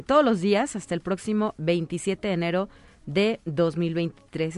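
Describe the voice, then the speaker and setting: A woman's voice: medium pitch, a little mellow. A woman with a Mexican accent hosting a live radio programme, interviewing and making announcements. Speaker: radio host. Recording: music playing behind the voice. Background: music.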